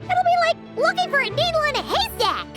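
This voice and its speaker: A tiny, childlike fairy sidekick from a cartoonish game. The voice is squeaky and extremely high, swooping up and down with excitable impatience, over playful background music. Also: female voice, brisk. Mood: baffled, surprised. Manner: exclaiming, questioning. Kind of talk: cartoonish video game acting.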